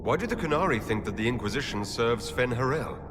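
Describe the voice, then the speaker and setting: An actor playing a composed elf Inquisitor in a fantasy game, speaking in a measured way. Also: male voice, medium pitch, big pitch swings, background noise, close to an everyday voice. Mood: wary. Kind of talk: video game dialogue acting. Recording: long reverb, loud music behind.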